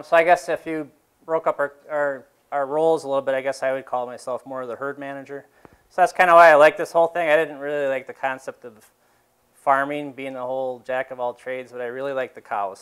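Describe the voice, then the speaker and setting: A man's voice: mid-range voice, smooth. A dairy farmer in his fifties giving a casual talk to a seated audience in a large exhibition hall, rambling with fillers and restarts.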